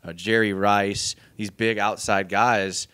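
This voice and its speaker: Man, very deep voice, dry close sound, measured podcast co-host. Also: fairly even intonation, sharp tone, clear, resonant tone, no room sound. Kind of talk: casual sports podcast chat.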